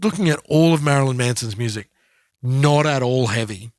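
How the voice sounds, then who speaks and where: A man's voice: mid-range voice, moderate pace, darkish tone, no room sound, studio quality. A man co-hosting a podcast, talking casually and sharing personal anecdotes. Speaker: podcast co-host.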